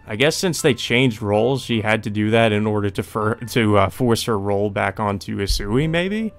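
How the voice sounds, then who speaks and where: A man's voice: on the low side, expressive, normal speed, soft background music. A man hosting a let's play, reading visual novel narration aloud and adding his own off-the-cuff reactions.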